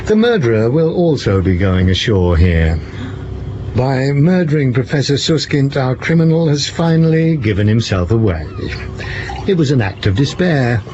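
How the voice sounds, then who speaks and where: An actor playing a shrewd, theatrical French police commissioner in an audio drama, pompous and teasing as he deliberates. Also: medium pitch, animated, darkish tone, natural voice. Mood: stern, triumphant. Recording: muffled, with background noise.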